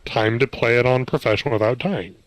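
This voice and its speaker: Deadpan man, dry close sound. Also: mid-range voice, a little fast, no echo, rough recording. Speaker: co-commentator. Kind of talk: casual chat.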